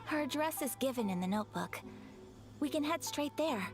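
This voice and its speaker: A woman's voice: high voice, lively intonation, normal speed. A gracious young noblewoman. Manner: polite, formal, composed. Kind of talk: video game voice acting. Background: game music.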